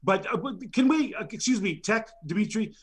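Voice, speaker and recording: Man, high voice, aggrieved rambling nominee, lots of stammers and ums. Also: lively intonation, normal speed, husky. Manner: indignant, rambling, stammers with many fillers. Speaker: nominee on a comedy show. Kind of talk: improvised comedy rant.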